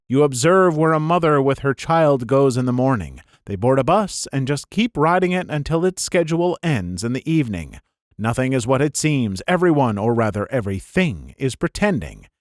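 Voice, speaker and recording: Soothing, animated male story reader. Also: a little high, dry recording, clean studio sound. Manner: lively, laughs at himself in the asides. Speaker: narrator of a storytelling channel. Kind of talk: reading viewer comments aloud with chatty asides.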